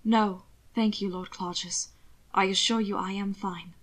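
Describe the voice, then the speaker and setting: A woman reading the part of a frightened, hurt young man who speaks in a hushed, halting voice. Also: on the high side, slightly rough, full character voice. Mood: cold. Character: frightened injured young man, cold and guarded.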